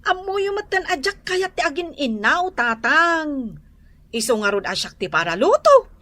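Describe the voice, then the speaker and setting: A woman's voice: high-pitched, big pitch swings, a little slow, a bit bright, no echo, exaggerated voice. A woman acting a young, teasing, bickering sister in an Ilocano comedy radio drama, with an Ilocano accent. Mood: bickering, annoyed.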